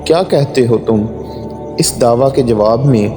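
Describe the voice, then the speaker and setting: A man's voice: mid-range voice, lightly acted. A man voicing a stern, just judge in echoing reverb. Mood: stern questioning. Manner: formal, firm, deliberate. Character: stern, just male judge pronouncing a verdict. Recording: long hall reverb, music underneath.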